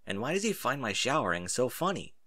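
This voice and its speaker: A man's voice: medium pitch, big pitch swings, brisk, pushed and bright. A man voicing a naive, curious young male newcomer who wonders aloud informally and gets easily flustered, in a story. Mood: confused.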